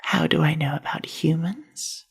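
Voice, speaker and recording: A woman voicing a reserved, bookish young drider librarian in a soft-spoken ASMR roleplay, with formal, measured phrasing. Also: deep voice, heavily acted. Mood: curious, measured. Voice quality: soft, breathy, husky with heavy creak. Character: reserved, bookish young female drider librarian, half-spider university student.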